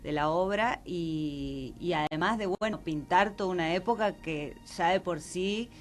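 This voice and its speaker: A woman with a Rioplatense Argentine accent speaks in a fairly low register. She talks as an interviewed theatre director, explaining things conversationally and often ending with a small tag question seeking agreement. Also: measured pace, soft background music.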